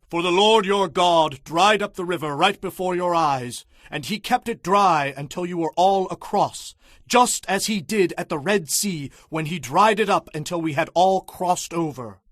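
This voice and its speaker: A man's voice: a little high, a bit bright, dry recording, studio quality. A man playing an earnest, reverent leader of ancient Israel in a dramatized audio Bible, speaking in a solemn, declamatory way. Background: background music.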